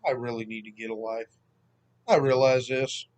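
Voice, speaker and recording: A man's voice: medium pitch, normal speed. Laid-back Southern man in his thirties. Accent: Southern US (Mississippi). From Southern United States (Mississippi). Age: 30s. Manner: rambling, relaxed, self-deprecating. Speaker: history channel host. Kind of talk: casual vlog chat. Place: at home, sitting.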